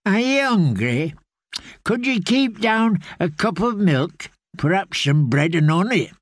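A man voicing a kindly, courteous old man in rags who tends wounds, in a slow, soothing storybook reading. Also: sits high, sing-song, dry recording, clean studio sound, pitched above the performer's own voice, exaggerated voice. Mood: gentle. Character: kindly old ragged man, gentle healer.

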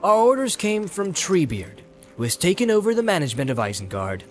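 A man's voice: high-pitched, very animated, fairly quick, faint music behind, pitched above the performer's own voice. A man voicing a small, cheeky young halfling man with pompous, courteous speech in a storybook reading. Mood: gravely polite. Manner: mock-formal, pompous politeness.

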